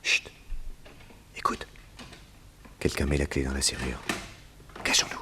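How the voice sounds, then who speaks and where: A man's voice: very deep voice, flat delivery, unhurried, reverberant, noisy background. An actor playing a shrewd, authoritative male master detective in a French radio serial, methodical in his questions and explanations. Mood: hushed, tense.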